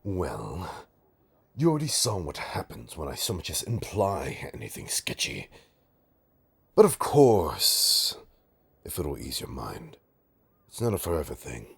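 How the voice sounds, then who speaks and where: A man playing a bitter, taunting supervillain in an audio roleplay, with a raspy, gravelly voice and a sardonic, theatrical, halting delivery. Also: a bass voice, huge pitch swings, a bit bright, heavily acted. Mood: smug, measured. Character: menacing, taunting male supervillain, bitter, grudgingly rehabilitating. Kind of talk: scripted audio roleplay drama.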